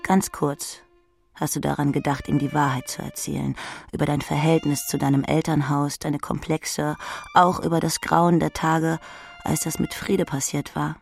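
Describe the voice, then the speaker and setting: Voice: mid-range voice, flat delivery, normal speed, gravelly, soft background music. A narrator reading literary prose in the second person, speaking intimately to the listener.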